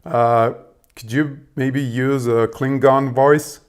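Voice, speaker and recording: A man's voice: mid-range voice, steady tone, slow pace, clean tone. Hesitant man with a Serbian accent. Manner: hesitant, many fillers. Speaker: video host testing an AI assistant. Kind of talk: casual chat.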